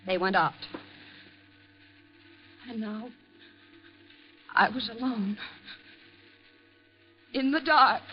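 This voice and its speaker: An American actress playing a terrified, haunted young woman in a 1950s radio drama, halting and stammering breathlessly. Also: fairly high pitch, slightly rough, exaggerated voice. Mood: hushed dread. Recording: muffled, noisy vintage radio recording.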